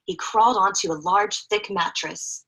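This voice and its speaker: A woman's voice: mid-range voice, moderate pace, pushed and bright, low-quality recording. A woman reading a picture book aloud expressively to children, in a chatty way with young listeners. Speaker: children's story reader.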